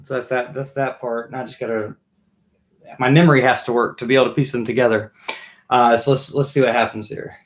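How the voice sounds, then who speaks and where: A man in his thirties, hoarse from losing his voice, chatting casually on a live stream from a small home music room, musing and restarting his sentences. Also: medium pitch, fairly even intonation, brisk. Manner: musing, trailing off with restarts while playing. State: losing his voice, throat clearing. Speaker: livestream host and bassist. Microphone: webcam mic at desk distance, wears headphones.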